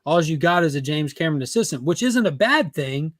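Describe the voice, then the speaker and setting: A man's voice: fairly high pitch, lively intonation, fairly quick, a bit bright, dry recording, clean studio sound. A man on a casual pop culture panel, laying out his opinions in long explanations.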